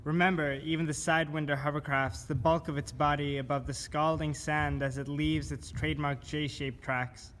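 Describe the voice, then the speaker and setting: Male spoken-word narrator, slightly high voice, deliberate and measured, clear noise on the recording. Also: flat delivery, a bit bright. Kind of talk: scripted spoken-word narration of poetic survival instructions.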